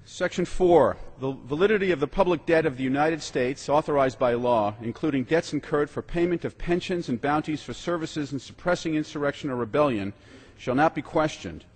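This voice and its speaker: A man, a member of Congress, with a raspy voice, formally reading a legal document aloud. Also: fairly high pitch, brisk. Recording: reverberant large legislative chamber.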